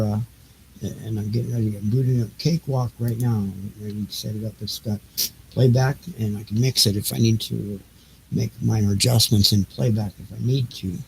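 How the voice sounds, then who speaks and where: A man chatting casually and haltingly, half to himself, as he fiddles with equipment for a live stream. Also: on the low side, gravelly. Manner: muttering to himself, distracted, halting. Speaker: audiobook reader and live streamer. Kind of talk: casual rambling tech chat while setting up a live stream. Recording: noisy live home-studio recording with clicks.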